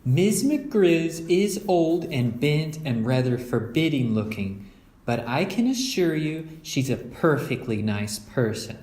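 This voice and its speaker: A man voicing a calm, reassuring mother bear in a storybook, gently firm as she explains things. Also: on the high side, slow, roomy sound, voice pushed up. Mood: reassuring, protesting.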